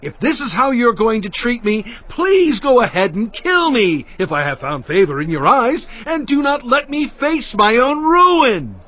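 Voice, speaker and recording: Anguished prophet, high voice, sweeping intonation, pleading, declamatory, audio Bible drama. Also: male voice, very animated, a little slow, hardly any pauses, exaggerated voice. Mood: anguished, despairing. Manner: impassioned, declamatory, emphatic delivery. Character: Moses, anguished, pleading male prophet and leader. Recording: dull lo-fi sound with music.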